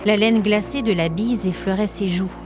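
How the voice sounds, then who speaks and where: A woman narrating scripted fiction as a storyteller, with measured, literary phrasing. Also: mid-range voice, steady tone, music in the background.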